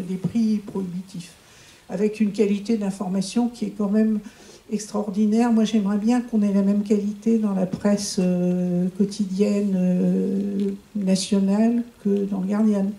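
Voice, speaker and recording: Woman chatting, very dark mellow voice, lots of uhs, echoey room. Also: mid-range voice. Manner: hesitant, with frequent fillers. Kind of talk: casual talk to an audience.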